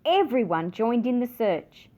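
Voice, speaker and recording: A woman reading a children's picture book aloud with expressive, dramatic emphasis. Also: on the high side, measured pace. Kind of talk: scripted children's story narration.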